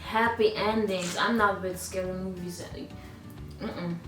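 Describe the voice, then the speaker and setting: A woman's voice: mid-range voice, steady tone, a little slow. A young woman from Congo co-hosting a casual chat, giving short, teasing replies. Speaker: channel co-host. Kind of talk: casual get-to-know-me chat. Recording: roomy sound, mic at a distance, clear music behind.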